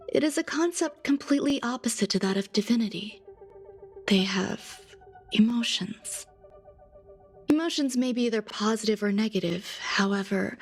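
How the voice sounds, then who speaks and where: A woman voicing a soft-spoken, detached genius scientist in a game cutscene, calm and measured, at times dropping to a whisper. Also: mid-range voice, lightly acted. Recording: game audio with music behind. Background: music.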